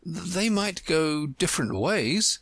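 An earnest storybook girl, played by a reader, raised in pitch with a soft husky edge. She is polite and sensible, careful and grave in how she answers. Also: lively intonation, slow, dry recording, heavily acted.

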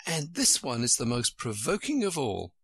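A man voicing a gentle, polite, puzzled seven-year-old girl in a storybook. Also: mid-range voice, wildly swooping pitch, normal speed, slightly rough, no echo, clean studio sound, exaggerated voice. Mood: plaintive, puzzled. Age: child.

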